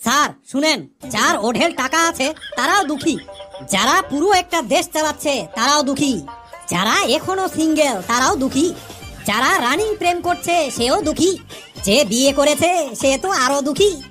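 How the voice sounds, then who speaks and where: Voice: high-pitched, lively intonation, normal speed, a bit bright, faint music behind. A woman voicing a cheeky, argumentative schoolboy pupil in a comedy cartoon, long-winded with smart-aleck answers.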